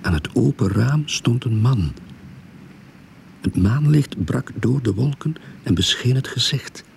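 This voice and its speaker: An actor playing the first-person narrator of a suspense radio drama, telling it in a hushed, tense way. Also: male voice, medium pitch, expressive, a little slow, creaky. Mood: suspenseful. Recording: faint background noise.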